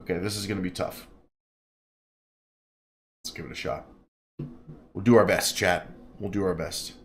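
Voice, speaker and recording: A man's voice: low-pitched, fairly even intonation, moderate pace. A man streaming a flight simulator, chatting casually and reading game text aloud with jokes and stumbles over place names.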